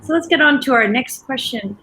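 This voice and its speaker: Host, woman, bright and upbeat on a video call, slight background hiss. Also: sits high, expressive. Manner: encouraging, quick to agree. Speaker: interview host. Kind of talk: interview on a livestream.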